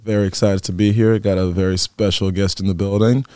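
A man's voice: on the low side, flat delivery, brisk, warm tone, smooth, no room sound, studio quality. A young man hosting a student podcast, asking his guest easygoing, chatty questions. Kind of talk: casual interview hosting.

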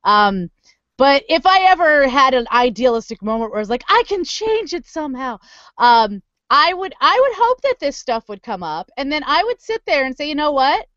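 Lively, high-voiced woman podcast co-host. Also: normal speed, no echo, lo-fi sound. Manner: blunt, animated, swears casually. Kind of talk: casual chat.

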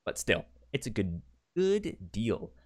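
Rambling man with lively pitch. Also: takes it slowly. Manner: rambling, many stammered restarts. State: has the hiccups. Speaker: podcast co-host. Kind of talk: casual chat.

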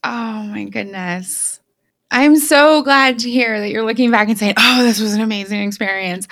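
A woman's voice: fairly high pitch, moderate pace, a bit bright, no room sound, clean studio sound. A woman hosting a podcast in an enthusiastic, conversational way, gushing and emphatic in informal language. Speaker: podcast host.

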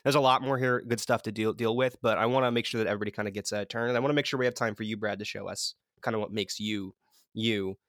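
A man hosting a casual comedy podcast, speaking in a mock-earnest, halting and encouraging way. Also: lowish voice, fairly even intonation, a bit bright, no echo, clean studio sound. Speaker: podcast host.

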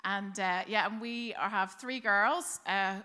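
A woman's voice: mid-range voice, unhurried, bright, forceful tone, clear, resonant tone. A woman in her forties with a Northern Irish accent, a guest speaker at a church talking easily about her life, with many fillers. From Northern Ireland. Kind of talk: church talk interview.

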